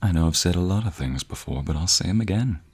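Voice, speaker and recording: A man's voice: low-pitched, expressive, quick pace, darkish tone, slightly rough. A young man playing a devoted, teasing boyfriend in a romantic audio roleplay, murmuring affectionately close to the microphone. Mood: adoring. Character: playful, devoted young boyfriend, a villager with a secret. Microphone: close binaural microphone.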